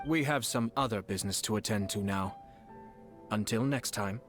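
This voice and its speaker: An actor playing a courteous, calculating young nobleman in a game, speaking with formal, measured diction. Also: on the low side, soft background music, close to an everyday voice. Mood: composed. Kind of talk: game voice acting.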